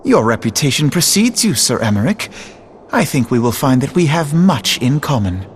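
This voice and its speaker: A man's voice: medium pitch, sing-song, normal speed, slight background noise, close to an everyday voice. A man voicing a young, earnest male diplomat in a game cutscene, speaking formally and courteously. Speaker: game character. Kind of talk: video game cutscene dialogue.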